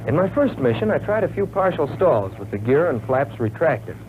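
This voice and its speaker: An actor playing an earnest young American military pilot, recounting his flight training in measured, folksy 1940s training film narration. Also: fairly high pitch, sing-song. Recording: tinny, noisy old film soundtrack.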